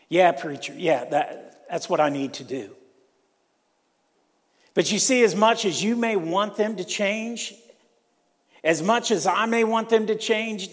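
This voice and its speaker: An older man from Ohio preaching a sermon in a church, slow and emphatic, repeating key lines. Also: on the high side. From Ohio, USA. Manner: slow, emphatic preaching with repetition and rising intensity. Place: church sanctuary. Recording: long hall reverb.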